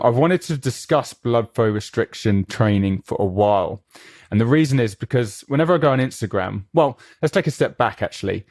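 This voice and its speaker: A man's voice: lowish voice, a little mellow, dry recording, studio quality. A man hosting a podcast, introducing his guest and asking eager, chatty questions. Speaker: podcast host. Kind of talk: conversational interview.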